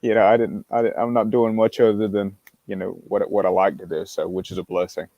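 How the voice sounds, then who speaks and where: Musician guy, lowish voice, halting, dry dull call audio. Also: little pitch movement, no echo. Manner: halting, with restarts. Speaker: musician guest. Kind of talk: casual chat.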